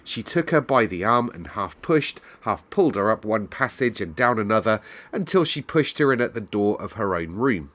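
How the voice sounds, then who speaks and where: Low-pitched man, storyteller. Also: sing-song, normal speed, a bit bright. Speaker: storyteller and host. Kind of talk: expressive read-aloud and casual chat to listeners.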